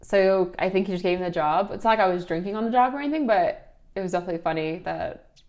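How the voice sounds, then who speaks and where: A woman's voice: on the low side, fast talker, darkish tone. A young woman from Ukraine, raised in Philadelphia, telling anecdotes casually and playfully as an interview guest. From Ukraine, raised in Philadelphia from age seven. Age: young adult. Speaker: interview guest, bottle service worker and model. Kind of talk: casual chat, anecdotal storytelling.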